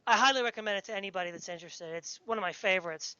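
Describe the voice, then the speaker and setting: A woman's voice: medium pitch, very fast, crowd noise. A woman interviewing someone on a podcast in casual, geeky, enthusiastic conversation. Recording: dull, lo-fi podcast audio.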